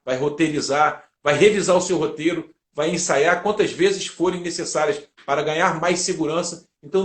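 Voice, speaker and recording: A Brazilian man answering as an interview guest, explaining methodically like a teacher and building his points in lists. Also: on the high side. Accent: Brazilian Portuguese. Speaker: guest, public speaking teacher and lawyer. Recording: roomy, echoing sound.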